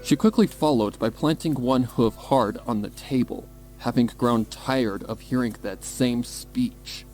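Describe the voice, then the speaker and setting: A man's voice: medium pitch, unhurried, few pauses, a little mellow. A man reading scripted, dramatic story narration as the narrator. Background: music bed.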